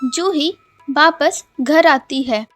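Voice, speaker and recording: A woman narrates a cartoon moral story in a gentle, measured way. Her voice is high and her pace slow and unhurried. Soft music runs faintly underneath.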